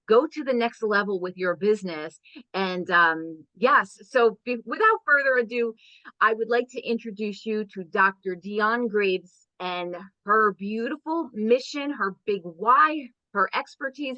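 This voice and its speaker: A woman's voice: medium pitch, sharp tone, no echo, highs cut off. A woman hosting an interview, effusive and enthusiastic as she introduces her guest. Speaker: host, business coach.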